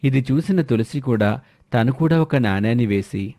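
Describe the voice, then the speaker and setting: A man's voice: medium pitch, lively intonation, moderate pace, dark tone. A man narrating a scripted moral story.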